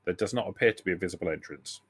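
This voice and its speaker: A man narrating a tabletop role-playing game as game master, describing scenes in a halting, self-correcting way. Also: low voice, slightly rough.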